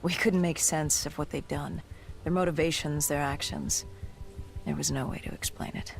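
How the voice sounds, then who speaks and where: An actor playing a grim, battle-worn soldier in a video game, recounting an apocalypse in a grave, halting, deliberate way. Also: medium pitch, fairly even intonation, creaky, faint music behind, natural voice. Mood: resolute. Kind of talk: dramatic cinematic narration.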